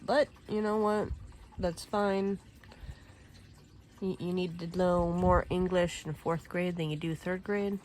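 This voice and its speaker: Swedish-born lady chatting while she crafts, slow and rambly, low-ish voice, bit of room hum. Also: rough recording. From Sweden. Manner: rambling, relaxed, frequent fillers and throat clearing. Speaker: crafting vlogger. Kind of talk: casual chat while crafting.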